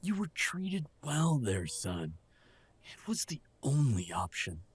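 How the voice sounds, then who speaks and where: A man's voice: mid-range voice, very animated, slow pace, husky, full character voice. A man playing an aging, earnest father in an audio drama, defensive and hesitant with his grown son. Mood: indignant, defensive. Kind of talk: audio roleplay drama acting.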